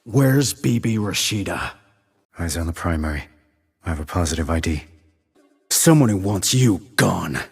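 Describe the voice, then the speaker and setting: An actor playing a terse, cool-headed sniper operative in a video game, with clipped, controlled military delivery and a low husky voice. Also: male voice, expressive, normal speed, no room sound, clean studio sound, exaggerated voice. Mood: menacing, interrogating. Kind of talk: military radio chatter, game dialogue.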